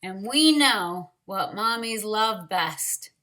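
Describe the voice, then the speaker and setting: A woman reading a picture book aloud to young children, expressively, with drawn-out words and wondering asides to them. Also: mid-range voice, expressive, pushed and bright. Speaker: storytime reader for young children.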